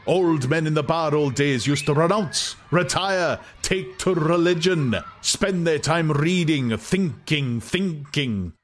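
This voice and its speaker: A grandiose older ruler of the world , acted by a male audiobook reader, holds forth in a raised, oratorical voice. He lectures in measured phrases and relishes every repetition. Clear noise runs under the recording. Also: on the high side, voice pushed up. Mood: grandiose, rhapsodic. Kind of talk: dramatized audiobook acting.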